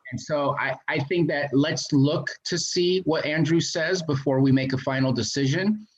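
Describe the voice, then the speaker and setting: A man speaking at a city committee meeting, talkative, with a voice he calls very loud. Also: a little high, normal speed, lo-fi sound. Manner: talkative, self-described loud voice. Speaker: committee member. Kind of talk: meeting discussion.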